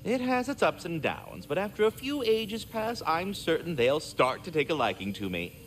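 A man's voice: on the high side, huge pitch swings, moderate pace, slight background noise, low-quality recording. A man voicing a polite magical squirrel in a video game, with formal, florid, theatrical diction. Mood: fond, wry.

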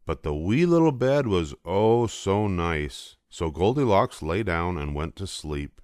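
A man's voice: sits low, very animated, a little slow, darkish tone, smooth, no echo, clean studio sound. An older man reading a children's storybook aloud as scripted narration. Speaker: storybook reader.

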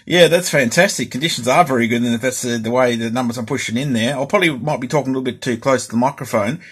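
Middle-aged Australian male hobby host, husky tone, hesitant and repetitive, casual delivery. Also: mid-range voice, fast, a bit bright. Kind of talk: casual hobby vlog talk.

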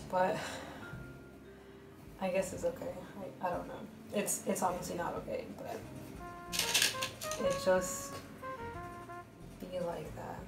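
Monotonous, blunt young-sounding woman. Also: lowish voice, slow pace, gravelly, pauses often. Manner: flat, monotonous speech pattern; blunt and rambling, many fillers. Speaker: art vlogger. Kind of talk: casual chatty voice-over while drawing. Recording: roomy voice over background music.

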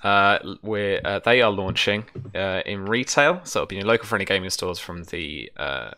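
Low, bright-voiced British man chatting. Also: expressive, normal speed, no echo. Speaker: game master and streamer. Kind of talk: casual livestream chat explaining a tabletop game. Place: small room at home, on a video call.

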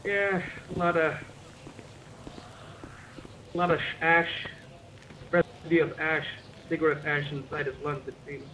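A man's voice: a little high, fairly even intonation, unhurried, pauses often, sharp tone. A man playing a medic in casual, improvised game roleplay, muttering commentary as he examines and swearing now and then. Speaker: roleplay player acting as a medic. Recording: noisy game voice chat.

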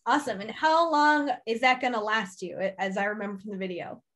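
A woman's voice: on the high side, expressive, brisk, fairly bright tone. A young woman hosting an upbeat, casual online interview, giggly and encouraging, speaking over a video call. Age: young adult. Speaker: interviewer, host.

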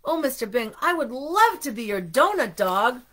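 Storybook donut, male, tender and keen to belong, acted by a woman; high, very animated delivery. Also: normal speed, exaggerated voice. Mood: excited, delighted. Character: eager, tender-hearted male donut who longs to belong. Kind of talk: children's storybook read-aloud.

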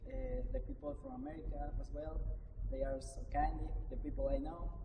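A woman's voice: sits low, big pitch swings, moderate pace. A young woman from Ecuador, a graduate student, speaking shyly in simple sentences. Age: young adult. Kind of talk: unscripted personal remarks. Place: large chapel with stone walls, indoors. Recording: distant echoey chapel with clear room noise.

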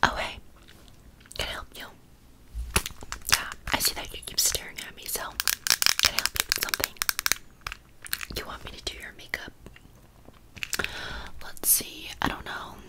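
A woman whispering as a snobby, impatient mean girl in an ASMR roleplay, curt and condescending in a breathy voice. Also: normal speed, long pauses, lightly acted, low-quality recording. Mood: snarky, impatient. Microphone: close desktop condenser microphone.

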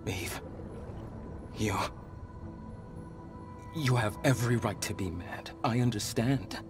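An actor playing a solemn, remorseful elder leader of monsters in a video game cutscene, speaking in a measured, earnest way. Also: male voice, fairly low pitch, over music. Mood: sorrowful, apologetic.

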